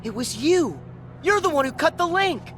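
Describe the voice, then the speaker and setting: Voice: high voice, sing-song, normal speed, a bit bright, a little hiss or hum. An actor playing an earnest, impulsive teenage boy hero in a game cutscene, sincere and plainspoken. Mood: shocked. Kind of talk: animated game cutscene acting.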